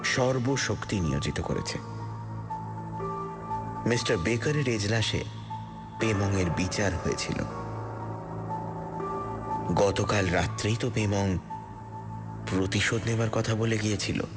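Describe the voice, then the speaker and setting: A man narrating a suspense story dramatically, in a scripted first-person style with deliberate pauses. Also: lowish voice. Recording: loud music under the voice. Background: loud background music.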